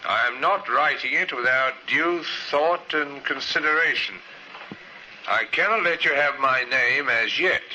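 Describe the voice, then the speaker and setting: A careful, deferential policeman in a vintage radio play. His highish, very sharp voice is measured and precise, with faint hiss behind it. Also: full character voice, low-quality recording. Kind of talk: old-time radio drama acting. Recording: hissy vintage radio recording.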